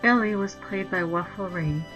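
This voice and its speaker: A woman reading out the credits of a fan radio play in a slow, deliberate manner. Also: mid-range voice. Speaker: credits reader. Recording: dull lo-fi recording with music.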